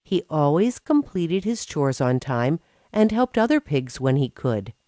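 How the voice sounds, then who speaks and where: A woman reads a children's story in a low voice. Her delivery is very slow and richly melodic, and the recording is dry and close. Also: big pitch swings, dark tone, no room sound. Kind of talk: scripted children's story narration.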